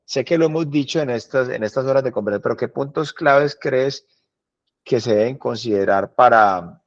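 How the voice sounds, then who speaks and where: Guy hosting a podcast, mid voice, stop-start questions, kinda muffled lo-fi audio. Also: mid-range voice. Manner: halting, rephrases his questions. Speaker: podcast host. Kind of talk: interview questions. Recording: dull lo-fi sound.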